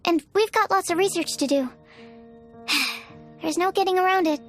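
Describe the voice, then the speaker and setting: A shy young alchemy researcher from a video game, very high in pitch. She speaks with earnest, hesitant politeness over soft background music. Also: normal speed. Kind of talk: video game character acting.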